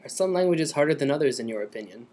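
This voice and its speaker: Quick man reading interview narration. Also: medium pitch, expressive, warm tone, slight background noise. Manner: scripted, announcing delivery. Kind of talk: read narration and interview questions.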